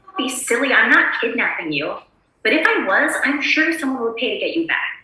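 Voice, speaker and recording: Woman as polite exasperated housewife, table read, higher voice, very roomy echo, slightly off mic. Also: sing-song, fairly quick, bright, forceful tone, far from the mic. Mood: prim insistence. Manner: prim, scolding politeness. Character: prim, polite pregnant housewife turned exasperated kidnapper. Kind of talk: screenplay table read acting.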